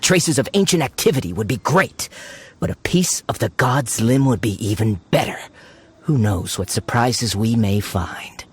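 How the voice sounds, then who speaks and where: An animated, greedy treasure hunter. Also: male voice, mid-range voice, moderate pace, breathy edge. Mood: musing, eager. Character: greedy, eager treasure-seeking man feigning scholarly interest. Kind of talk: video game voice acting.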